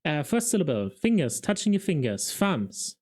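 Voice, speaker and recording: A man's voice: on the high side, big pitch swings, normal speed, darkish tone, voice pushed up. A man voicing an earnest, naive young male city watchman, eagerly puzzling out guesses. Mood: eager guessing.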